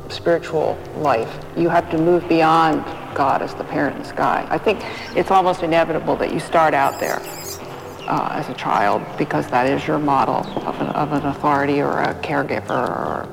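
A man giving reflective, explanatory talk in a thoughtful, deliberate manner. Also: a little high, steady tone, vocal fry. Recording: long reverberant hall sound. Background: music.

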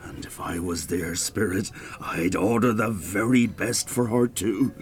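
An actor playing a dead old Irish widower in a radio play, cantankerous and self-pitying, with a pleading, hesitant, indignant way of talking. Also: male voice, on the low side, measured pace, slight background noise, natural voice. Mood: uneasy. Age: older.

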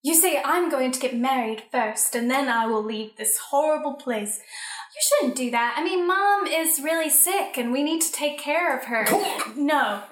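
A woman's voice: high voice, lively intonation, far from the mic. A woman playing a mysterious, melodramatic young-sounding sister in an improvised comic radio mystery. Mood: dramatic, determined. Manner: melodramatic, deliberate. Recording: echoey room, off the mic.

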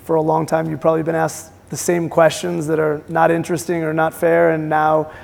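A man with a gravelly, raspy voice asks questions on a conference stage. He speaks in a flat, narrow tone that sits a little high for a man, and often restarts his phrases. A long hall reverb trails behind him. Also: fairly quick. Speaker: interviewer, venture investor. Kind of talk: onstage interview questions.

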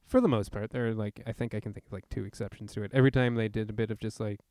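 A man's voice: fairly low pitch, steady tone, very fast, a little mellow, no echo, studio quality. A man co-hosting a podcast, talking through a film casually and enthusiastically, with informal phrasing and casual swearing. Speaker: podcast co-host reviewing films.

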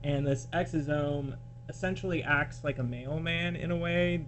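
A man's voice: on the high side, normal speed. Male science guest over guitar music. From born in California, grew up partly in Australia. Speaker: podcast guest, biochemist. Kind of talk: casual interview, explaining work.

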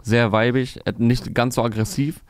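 A man's voice: medium pitch, steady tone, moderate pace, dry recording, studio quality. A man co-hosting a casual show, talking through rap intros and videos in an analytical way with frequent fillers. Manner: fluent, analytical, many "äh" fillers. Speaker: podcast host reviewing rap releases. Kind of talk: casual music review chat.

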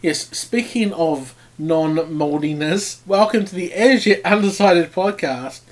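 A man co-hosting a podcast, halting and easily amused, often restarting his sentences. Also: a little high. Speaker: podcast co-host. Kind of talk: casual podcast banter.

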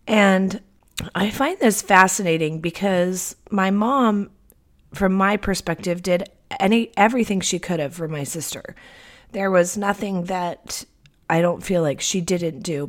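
A woman hosting a solo podcast in a casual, personal, confiding way, her voice congested from a cold. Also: lowish voice. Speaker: podcast host.

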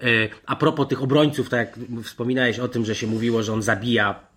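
A man discusses football as a podcast panellist. His voice sits at a middling pitch. He talks in a digressive, halting way, often restarting and rephrasing his point. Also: steady tone, husky. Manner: halting, rambling, digressive with self-corrections. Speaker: sports journalist and podcast panellist.

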